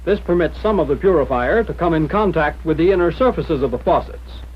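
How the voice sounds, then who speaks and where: A man's voice: a little high, animated, a little fast, breathy edge. An American man reading a scripted 1940s military instructional narration in a plain-spoken, direct, instructive manner. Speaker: training film narrator. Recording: muffled, dull 1940s film soundtrack.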